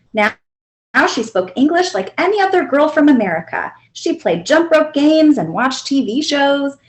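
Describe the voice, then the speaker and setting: A woman narrating a children's picture book aloud in an expressive, deliberate storytelling manner. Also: high-pitched. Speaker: librarian reading aloud.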